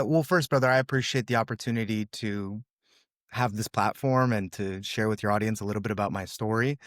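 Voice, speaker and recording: Young guy, russian is his first language, low voice, dry close mic, earnest, lots of "um" and "brother". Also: no room sound. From USA, California. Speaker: guest. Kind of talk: casual storytelling interview.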